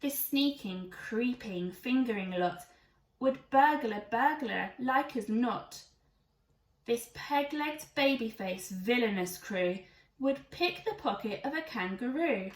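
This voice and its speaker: A woman with a high voice reads a rhyming storybook to children. Her delivery is expressive and emphatic. The recording is roomy and slightly distant. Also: slow pace. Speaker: teacher reading to children. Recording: echoey room, away from mic.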